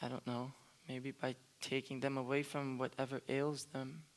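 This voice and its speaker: A man's voice: mid-range voice, level tone, moderate pace, close to an everyday voice. A young man acting in a school musical as an earnest, hesitant and reserved magician. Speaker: stage actor and singer in a school musical.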